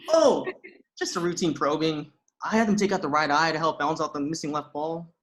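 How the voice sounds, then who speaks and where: Oblivious dude played by a woman: low pitch, cheerfully deadpan, nonchalant rambling, comic drama read-through. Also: a little fast, a little hiss or hum, lightly acted. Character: goofy, oblivious young man, cheerfully deadpan.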